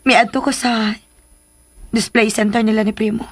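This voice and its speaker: A woman's voice: a little high, flat delivery, normal speed, over music, natural voice. A woman with a Cebuano Filipino accent playing a sensitive, lovesick young daughter confiding in her mother in a radio drama. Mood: hurt. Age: young adult. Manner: plaintive, confiding.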